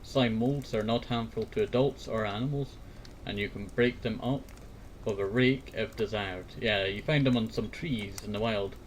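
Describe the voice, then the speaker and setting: A man's voice: lowish voice, moderate pace, slight background noise, low-quality recording. A man chatting casually in a meandering way, often repeating himself and sometimes reading bits aloud. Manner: meandering, repeats words and verbal tag phrases. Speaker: host of a fireside talk series. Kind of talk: rambling casual chat, some reading aloud.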